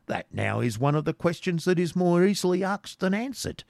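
A man's voice: sits high, very animated, normal speed, vocal fry, a little mellow, no room sound, pitched above the performer's own voice, exaggerated voice. A man voicing a boisterous, boastful hermit friar who drinks hard and blusters in old-fashioned English, in a storybook reading. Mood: flustered.

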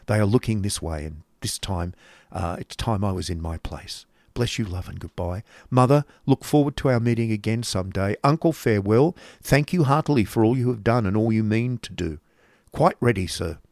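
Man acting an earnest, subdued young gentleman. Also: on the low side, moderate pace, no room sound, clean studio sound, lightly acted. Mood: polite, resolute. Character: earnest, polite, affectionate young gentleman, uneasy.

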